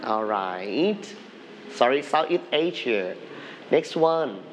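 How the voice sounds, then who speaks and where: A male English teacher in a studio classroom, slowly leading a televised lesson and having students repeat words after him. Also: medium pitch, big pitch swings, slight background noise.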